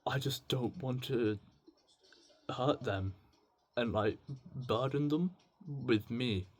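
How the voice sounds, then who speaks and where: A British man talking in a casual, unscripted video diary, rambling and halting, with a self-deprecating manner. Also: mid-range voice. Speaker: video diarist. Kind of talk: casual unscripted confessional monologue.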